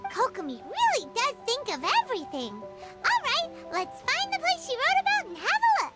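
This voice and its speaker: A tiny, food-loving companion girl for a video game, eager and exclamatory. Her voice sits extremely high and leaps wildly up and down. Loud game music plays behind her. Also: huge pitch swings, moderate pace, exaggerated voice. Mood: curious. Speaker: companion character. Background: loud game soundtrack music.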